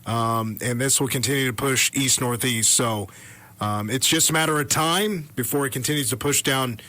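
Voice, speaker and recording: A man co-hosting live radio weather coverage, commenting off the cuff on storms and thinking aloud with halting self-corrections. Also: mid-range voice, sharp tone.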